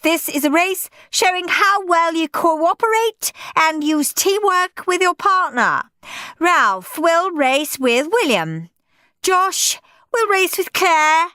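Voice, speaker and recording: A woman's voice: very high-pitched, sing-song, slow, pushed and bright, dry recording, studio quality, voice pushed up, exaggerated voice. A woman voicing a stern, bossy female schoolteacher who barks orders in a storybook reading. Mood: commanding. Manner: barking, commanding.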